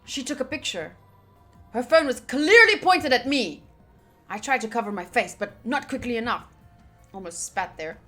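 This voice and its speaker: Playful female game streamer, high bright voice, reads story text aloud and reacts casually, music behind. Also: moderate pace. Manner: playful, expressive, swears casually. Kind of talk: visual novel playthrough, reading text aloud with casual reactions.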